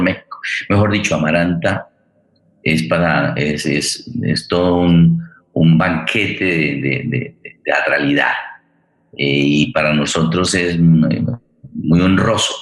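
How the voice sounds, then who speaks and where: Very deep-voiced man hosting a forum. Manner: halting, deliberate, searching for words. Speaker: forum host and theatre director. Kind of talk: introducing a guest at a public forum.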